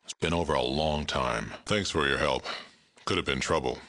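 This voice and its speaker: An actor playing a huge, world-weary super mutant town leader in a video game, with a gravelly booming voice and a measured, blunt, stern delivery. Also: male voice, pushed and bright. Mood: stern, reflective. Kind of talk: video game character dialogue.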